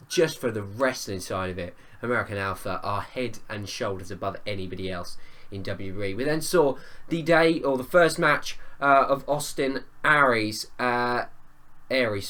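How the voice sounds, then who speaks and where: A man talks through a wrestling event in a casual review video, his voice hoarse and worn from a cold. His pitch sits in an ordinary middle range. He rambles, doubles back and offers strong opinions with eager enthusiasm. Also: pushed and bright. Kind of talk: casual spoken review vlog.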